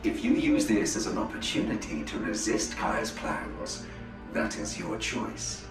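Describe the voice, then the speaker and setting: An actor playing a composed, formal elf spymaster. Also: male voice, on the low side, huge pitch swings, a little slow, echoey room, far from the mic, over loud music. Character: cool male elf spymaster, calm and formal.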